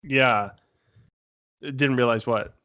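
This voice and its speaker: A man's voice: mid-range voice, drawn-out words, fairly bright tone. A man talking casually and informally, rambling through stories as a podcast panelist and swearing now and then. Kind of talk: casual chat recounting tabletop game sessions.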